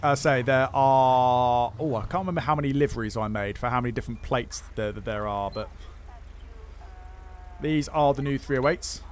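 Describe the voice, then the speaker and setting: A man's voice: medium pitch, moderate pace, slight background noise. Rambling male game streamer. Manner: rambling, many fillers and restarts. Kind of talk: casual livestream chat, answering viewer questions.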